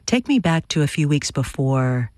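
An interviewer asking calm, measured questions. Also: mid-range voice, no room sound, clean studio sound.

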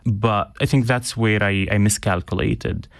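Low gravelly-voiced young man, earnest. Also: fairly even intonation, a little slow, dry recording, clean studio sound. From Syria. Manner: earnest, with frequent ums and restarts. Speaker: interview guest. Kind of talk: reflective interview conversation.

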